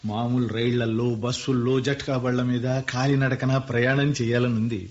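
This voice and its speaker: An actor playing a middle-aged industrialist, nostalgic and playful, who gives orders easily. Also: male voice, mid-range voice, flat delivery, fairly quick, natural voice. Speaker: lead character. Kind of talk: radio play acting. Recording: muffled radio play with faint music.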